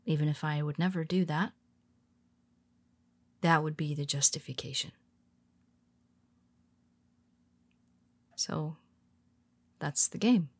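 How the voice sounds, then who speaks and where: A woman voicing a cool, scheming female superhero who quietly confides in her nemesis in an audio roleplay, speaking softly and wryly, often in a whisper. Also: sits low, unhurried, slightly rough, exaggerated voice. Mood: calculating. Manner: calm, wry and confiding. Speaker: voice actor.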